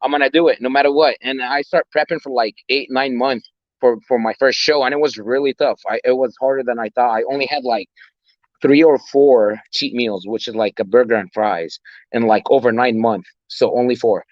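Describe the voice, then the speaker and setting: A man's voice: medium pitch, steady tone, fairly quick, no echo, dull audio. A young man from Egypt whose first language is Arabic, a guest talking in a casual interview, hesitant with many fillers and restarts. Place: outdoors on a city walk.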